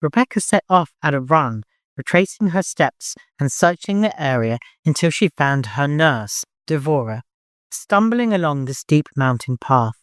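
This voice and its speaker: An audiobook narrator with a middle-pitched voice reads dramatic fiction. The recording is close and very dry. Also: sing-song, a little slow, no room sound. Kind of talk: scripted dramatic story narration.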